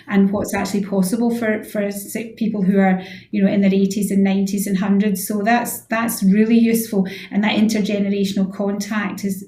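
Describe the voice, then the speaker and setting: A woman's voice: mid-range voice, warm tone. A Scottish woman in her sixties giving thoughtful, wordy answers as a guest in a conversational radio interview, often repeating her phrases. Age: 60s. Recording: echoey room sound.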